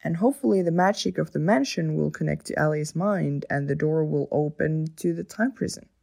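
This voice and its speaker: A woman's voice: low-pitched, a little fast, gravelly, a little mellow. A woman playing a wry, bossy, impatient member of a hero team in an audio drama. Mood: wry, practical. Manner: exasperated, wry.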